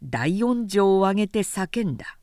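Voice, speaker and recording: Slow, dramatic woman narrator. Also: medium pitch, big pitch swings, darkish tone, no room sound, studio quality. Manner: measured, theatrical, carefully phrased. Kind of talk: dramatic literary recitation of a war tale.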